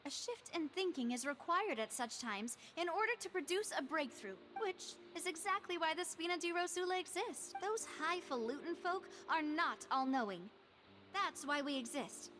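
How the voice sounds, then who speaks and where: A high-voiced, poised young lady. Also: lively intonation, moderate pace, fairly bright tone, slight background noise. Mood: earnest, resolute. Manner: articulate, polite, earnest. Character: poised, friendly young woman, leader of an organization. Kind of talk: anime video game voice acting. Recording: game music behind.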